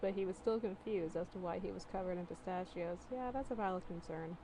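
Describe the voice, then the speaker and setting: A woman's voice: lowish voice, brisk, background noise. A woman doing a casual dramatic reading of fan fiction, giggly and incredulous, breaking off for mocking asides.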